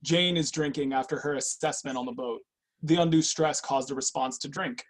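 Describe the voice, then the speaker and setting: A man's voice: mid-range voice, normal speed, a little hiss or hum, natural voice. A man in a script reading, playing a composed, businesslike personal assessor who probes people. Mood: clinical. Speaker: actor in a screenwriters' readers theatre.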